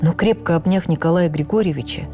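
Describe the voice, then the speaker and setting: A woman playing an actress who recalls her life in the theatre, narrating in the first person for radio drama in an expressive, measured, literary way. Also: on the low side, lightly acted. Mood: earnest, reflective. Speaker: actress reading a stage memoir. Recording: radio broadcast with faint music.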